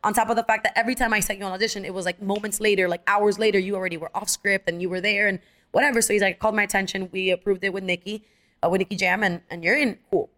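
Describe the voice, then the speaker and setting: Fast-talking young woman telling stories. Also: mid-range voice. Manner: animated, acts out quoted dialogue, many fillers. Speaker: actress guest. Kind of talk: casual storytelling interview.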